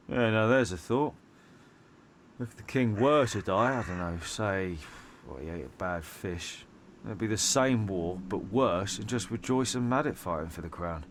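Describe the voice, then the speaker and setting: Actor as male medieval game guard, lowish voice, gossipy and musing, faint ambient noise. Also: measured pace, natural voice. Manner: conversational, hesitant musing. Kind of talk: video game character acting.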